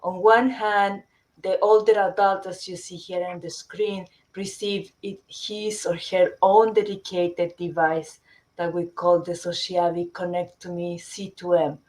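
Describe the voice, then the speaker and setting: A middle-aged woman from Chile, a company founder presenting her product in a webinar, often pausing with fillers and restarting sentences. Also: fairly low pitch, little pitch movement, a little mellow. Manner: hesitant, many fillers and restarts.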